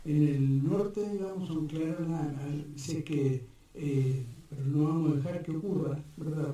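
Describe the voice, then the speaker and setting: A man's voice: fairly high pitch, warm tone, a little hiss or hum. Hesitant man speaking in an echoey hall. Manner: hesitant, many fillers and restarts. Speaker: park defender, invited speaker. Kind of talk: public talk at a community forum. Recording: echoey hall, mic far off.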